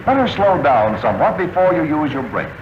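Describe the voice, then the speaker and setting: A man reading scripted instructional narration for a vintage military training film, in a measured, instructive manner. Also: on the high side, very animated. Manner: measured, instructional delivery with clipped checklist phrasing. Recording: dull, noisy old film soundtrack, aircraft drone. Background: aircraft engine noise.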